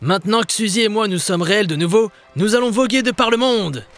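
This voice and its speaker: A man's voice: high-pitched, brisk, fairly bright tone, faint music behind. A man voicing an adventurous, commanding ghost sea captain in a children's cartoon, with a hearty, theatrical delivery. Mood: joyful. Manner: hearty, theatrical, barks nautical orders.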